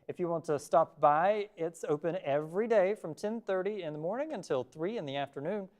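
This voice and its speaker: A man's voice: fairly high pitch, darkish tone. A man anchoring a local television newscast, reading scripted stories from a studio. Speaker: TV news anchor.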